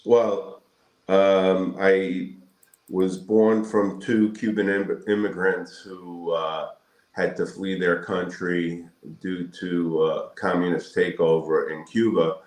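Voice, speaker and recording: A man in his sixties from Brooklyn, answering interview questions in a measured, halting, reflective way with pauses between phrases. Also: sits low, flat delivery, darkish tone. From Brooklyn, New York, United States. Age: 60s. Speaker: guest, periodontal surgeon. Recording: roomy echoing sound.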